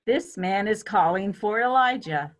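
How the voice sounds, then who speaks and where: A woman's voice: medium pitch, expressive, a little slow. A woman giving a dramatic scripture reading as one of several readers.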